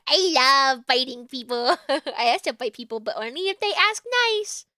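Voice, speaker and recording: A woman's voice: high-pitched, lively intonation, measured pace, sharp tone, no room sound, studio quality. A woman streamer reading a game aloud with playful, goofy commentary.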